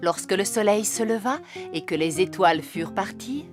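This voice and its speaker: A woman's voice: mid-range voice, animated, normal speed, soft background music, close to an everyday voice. A woman voicing a genteel, patient fairy queen mother who tells stories expressively in a French cartoon dub. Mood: storytelling.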